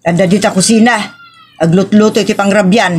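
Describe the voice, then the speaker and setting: A woman's voice: medium pitch, fairly even intonation, brisk, darkish tone, a little hiss or hum, close to an everyday voice. A woman with an Ilocos accent playing a resentful older mother-in-law who speaks reproachfully in a drama. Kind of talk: Ilocano audio drama acting. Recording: music under the voice.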